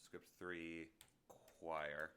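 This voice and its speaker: A man's voice: rumbling low, little pitch movement, extremely slow, bright, forceful tone, clean tone. A man streaming live, muttering to himself and joking at his own expense in casual chat at a desk microphone.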